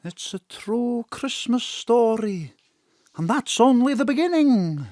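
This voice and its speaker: An actress playing a knowing, encouraging Christmas spirit guide with a measured storytelling manner in an audio drama. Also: female voice, on the high side, expressive, dark tone, dry recording, studio quality.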